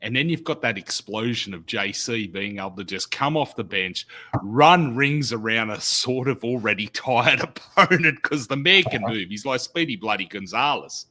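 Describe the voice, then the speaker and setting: A man in his thirties with an Australian accent, co-hosting a casual podcast chat as a loud, animated storyteller over a desk mic on a video call. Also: mid-range voice, normal speed. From Sydney, Australia. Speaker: podcast co-host. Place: home studio.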